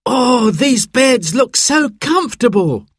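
Guy doing a excited curious little kid for a storybook, high voice, swoops all over. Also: a little slow, dry recording, studio quality, exaggerated voice. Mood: delighted. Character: excited, curious young child on a family holiday.

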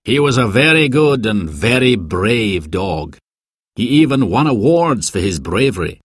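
A man's voice: lowish voice, sing-song, measured pace, slightly rough, no echo, heavily acted. A man voicing a kindly older male hostel keeper who explains things patiently in a storybook.

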